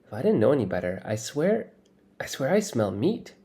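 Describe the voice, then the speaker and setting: A man's voice: mid-range voice, sing-song, moderate pace, warm tone, voice pushed up. Here is a man playing an eager, easily tempted boy in a children's audiobook. He has a keen, slightly wistful way of talking, as a good-natured sidekick. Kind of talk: acted audiobook dialogue.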